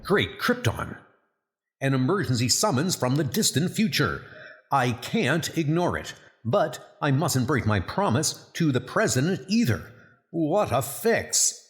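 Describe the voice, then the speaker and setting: A man's voice: fairly high pitch, very animated, a little slow. A man voicing a noble, earnest superhero who thinks aloud in exclamations, in a comic book dub. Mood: alarmed.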